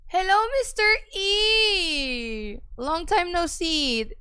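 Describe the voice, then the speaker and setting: A young woman with a raspy voice chatting casually with her viewers on a gaming stream, greeting chat and laughing often. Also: very high voice, very animated, extremely slow, sharp tone.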